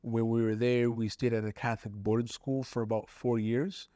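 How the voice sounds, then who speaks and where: A man's voice: medium pitch, flat delivery, moderate pace, dark tone, no room sound, studio quality. A man in his forties from Somalia, raised in Italy and Canada, talking reflectively as an interview guest. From Somali-born, raised in Italy and Canada. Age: 40s. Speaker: podcast guest, spoken-word poet.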